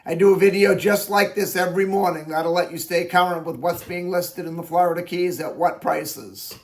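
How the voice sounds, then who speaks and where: A man working as a real estate agent, talking through his daily listing video in a plain, conversational way, his voice raspy from allergies. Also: high-pitched, fairly even intonation, moderate pace. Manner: plain-spoken, conversational, explains at length. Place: Florida Keys.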